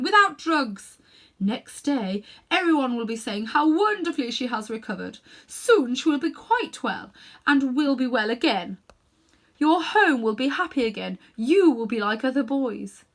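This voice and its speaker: A woman's voice: fairly high pitch, animated, measured pace, fairly bright tone, pitched above the performer's own voice, exaggerated voice. A woman voicing a fierce, scornful witch who coaxes and tempts insistently in a children's storybook. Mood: urgent coaxing. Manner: imperious, insistent persuasion.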